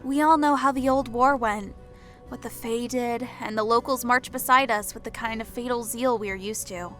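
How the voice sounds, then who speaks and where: A woman's voice: a little high, fairly even intonation, moderate pace, natural voice. An actress playing a sarcastic, unbudging woman among soldiers in an audiobook. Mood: resigned. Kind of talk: audiobook character dialogue. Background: background music.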